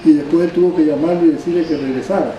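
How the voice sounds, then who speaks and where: An older man tells his story in halting bits, restarting phrases and tacking on little tag questions. His voice sits fairly high. He is picked up from a distance in a roomy space with steady ambient noise behind him. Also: muffled recording. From Panama. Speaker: barber being interviewed. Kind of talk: rambling oral history. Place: barbershop.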